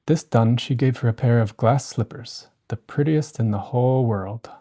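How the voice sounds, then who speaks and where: A storyteller giving a gentle, scripted bedtime narration of a classic fairy tale. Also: medium pitch, moderate pace.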